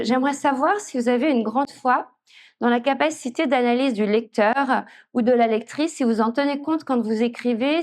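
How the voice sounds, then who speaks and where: A woman, an author speaking reflectively at a public literary talk, with frequent pauses. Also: a little high, darkish tone. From Switzerland. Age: 50s. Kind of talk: conversational answers at a public talk. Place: festival conference room.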